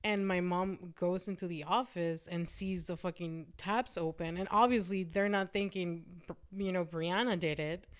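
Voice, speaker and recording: Smooth, lowish-voiced frank woman from Mexico. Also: steady tone, normal speed. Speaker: podcast guest. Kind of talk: casual, candid chat.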